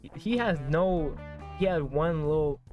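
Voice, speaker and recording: A man's voice: on the high side, a little slow, lo-fi sound, faint music behind. A man doing a visual novel let's play, reading aloud and reacting casually with slangy, effusive comments and swearing. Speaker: game streamer, let's player.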